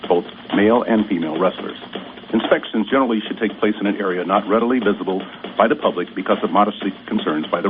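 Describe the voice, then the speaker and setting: A man with a lowish voice explains officiating rules, talking fast and matter-of-fact. The audio is narrow and phone-like, with clear hiss running behind it. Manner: brisk, matter-of-fact instructional tone. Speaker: officiating segment guest. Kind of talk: scripted explainer reading. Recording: tinny radio broadcast with clear hiss.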